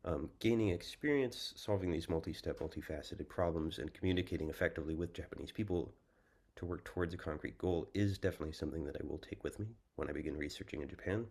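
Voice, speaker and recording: A young man with an American accent gives a symposium talk about his study-abroad project. His voice sits extremely low. He speaks in a measured, careful way and fills pauses with ums. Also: gravelly, a little mellow. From United States. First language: English. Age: young adult. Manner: measured, thoughtful, with frequent ums. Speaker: student presenter. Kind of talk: prepared symposium presentation.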